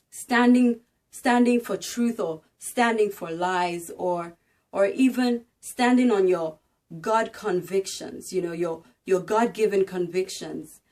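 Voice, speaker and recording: Slow, earnest woman giving a devotional. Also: medium pitch, a little mellow. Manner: earnest, emphatic, exhorting. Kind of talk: devotional talk and dramatic scripture narration.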